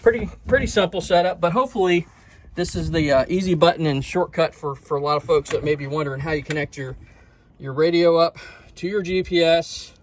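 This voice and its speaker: A man presenting an informal how-to tutorial in a halting, step-by-step way, repeating technical details as he goes. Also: a little high, a little hiss or hum. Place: at a boat console, in shade on a sunny day.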